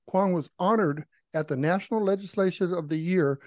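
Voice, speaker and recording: A man co-hosting a talk radio show, briskly reading a scripted guest introduction. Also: high-pitched, dry recording, highs cut off.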